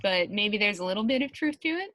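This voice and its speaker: A woman's voice: medium pitch, expressive, fast talker, a bit bright, no room sound. Female panelist, middle register, conversational read of written answers, dry close sound. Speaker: panelist reading out an author's translated answers. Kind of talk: casual panel reading and chat.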